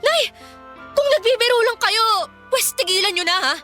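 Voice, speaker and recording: An indignant young breadwinner daughter in a radio drama. The voice is very high and animated, stern and outspoken, with light music behind it. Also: female voice, measured pace, full character voice. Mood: angry, pleading. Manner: confrontational, indignant. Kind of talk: Filipino radio drama acting.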